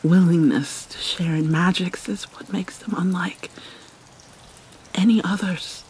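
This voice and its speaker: A woman playing a haunted, sorrowful vampire mermaid in a soft, breathy ASMR roleplay, halting and often slipping into a whisper. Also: lowish voice, poor audio. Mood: solemn, wistful. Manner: halting, mournful, dramatic pauses. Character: vampire mermaid, sorrowful young-looking princess, centuries old, haunted. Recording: steady rain and water noise behind. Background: rain ambience.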